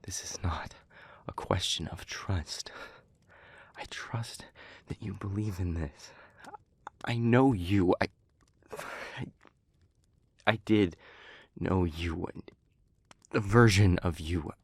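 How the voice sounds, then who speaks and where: A man playing an anguished, tender demon in an intimate audio roleplay, with a breathy, husky voice that often drops toward a whisper and a halting, weighted delivery. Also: low voice, no room sound, exaggerated voice. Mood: anguished, intense. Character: anguished demon pleading with a beloved human, tender.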